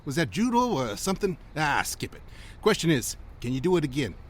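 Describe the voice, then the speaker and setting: A man voicing a fast-talking, pushy male promoter. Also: a little high, big pitch swings, voice pushed up. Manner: rapid salesman patter.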